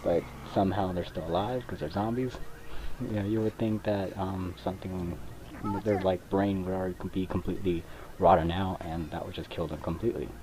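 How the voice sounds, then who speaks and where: A man's voice: deep voice, steady tone, brisk, mellow, rough recording. A young man from Mexico chatting casually over his gameplay, rambling and hesitant with many fillers, on a cheap computer mic. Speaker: gaming video creator. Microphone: cheap computer microphone. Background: controller clicks.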